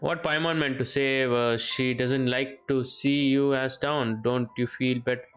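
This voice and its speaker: A man's voice: mid-range voice, steady tone, measured pace, a bit bright. A man streaming a game, casually reacting and reading along, echoing dialogue in murmured asides. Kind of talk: casual reactions and read-along while playing. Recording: dull lo-fi microphone sound. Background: faint game music.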